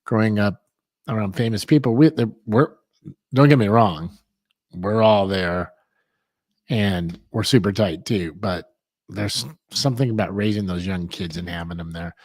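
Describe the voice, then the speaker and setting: A man's voice: lowish voice, a little slow, no echo. A middle-aged man from Hollywood co-hosting a casual chat, rambling with lots of fillers. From Hollywood, California. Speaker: co-host.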